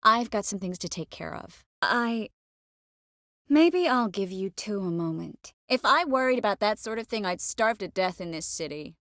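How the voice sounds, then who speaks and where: An actress voicing a young sorceress in a video game, gentle, earnest and determined, with a measured, expressive delivery. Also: female voice, medium pitch, dry recording, clean studio sound. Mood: calm, matter-of-fact. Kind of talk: video game character voice lines.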